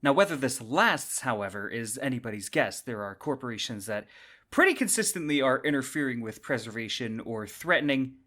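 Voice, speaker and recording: A man's voice: mid-range voice, lively intonation, fairly quick, pushed and bright. Conversational man hosting an explainer podcast. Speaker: podcast host. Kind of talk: semi-scripted explainer commentary.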